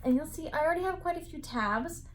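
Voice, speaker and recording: A woman's voice: high-pitched, lively intonation, quick pace, slight background noise. A woman in her twenties, chatting casually about a knitting book while sitting up in bed, with a lot of "like" fillers. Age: 20s. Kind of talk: casual reading vlog.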